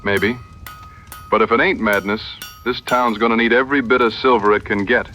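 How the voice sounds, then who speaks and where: An actor playing a steady, plain-spoken frontier hunter in an audio western, with measured folksy phrasing. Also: male voice, lowish voice, soft background music. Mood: resolute. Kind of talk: dramatized audio western dialogue.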